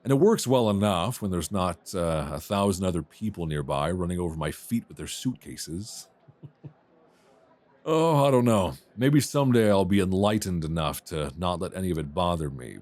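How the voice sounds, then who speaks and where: A man's voice: deep voice, a little mellow, no echo, exaggerated voice. A man playing a chatty, flirtatious, self-deprecating traveller in an intimate ASMR romance roleplay. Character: friendly, flirtatious rough-and-tumble male traveller, a writer. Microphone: close binaural microphone.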